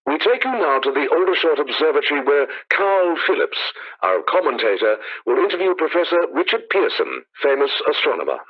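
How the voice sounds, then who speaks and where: A man acting a formal, measured 1930s radio news announcer in a radio play. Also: fairly high pitch, sing-song. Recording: dull lo-fi radio sound.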